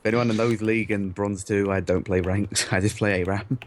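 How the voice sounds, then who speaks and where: A man's voice: fairly low pitch, fairly even intonation, a little fast, noisy background. A man from England co-hosting a gaming livestream, chatting casually and joking with frequent laughs. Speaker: server livestream co-host. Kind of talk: casual chat.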